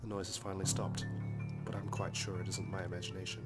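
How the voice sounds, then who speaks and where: A man reads visual novel narration as a dramatic story for a Let's Play. His voice sits low and stays very even in pitch, measured and serious. Loud game music plays behind him. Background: game soundtrack music.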